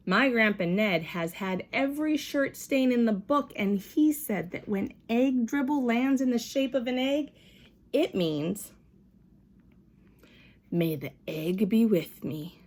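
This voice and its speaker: A woman's voice: fairly high pitch, expressive, measured pace, voice pushed up. A woman voicing a smug, scheming little boy with a theatrical way of talking.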